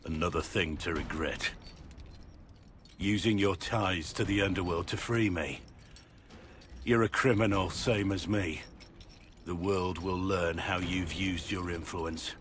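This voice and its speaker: An actor playing a gruff, weary middle-aged soldier in a video game, grave, measured and earnest, full of remorse and resolve. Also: male voice, deep voice, steady tone, a bit bright, a little hiss or hum. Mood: bitter, anguished. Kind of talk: dramatic video game acting.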